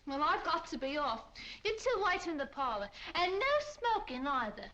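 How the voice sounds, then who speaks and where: An actress playing a blunt, suspicious older housekeeper with common speech, in 1940s film style. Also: female voice, high voice, sing-song, normal speed, sharp tone, exaggerated voice. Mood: brusque. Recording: dull lo-fi 1940s film sound with faint hiss.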